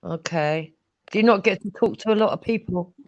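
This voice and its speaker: Woman host, patient questioning tone, mid-pitched, dull lo-fi audio. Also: fairly quick, darkish tone, no echo. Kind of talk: casual chat. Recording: dull lo-fi sound.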